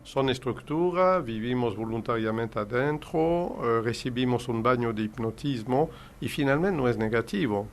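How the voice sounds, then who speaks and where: An older man speaking Spanish as a second language, with a middle-range voice. He explains at length in an earnest, lecturing way, like a hypnotherapist being interviewed. A faint hiss sits under the recording. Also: mid-range voice. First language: not Spanish. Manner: earnest, explanatory, non-native Spanish phrasing. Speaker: hypnotherapist guest.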